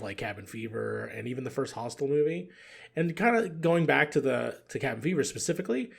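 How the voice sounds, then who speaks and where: A man's voice: medium pitch, gravelly, breathy edge. A man chatting casually and giving his opinions in a rambling way as a podcast guest. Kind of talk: casual chat.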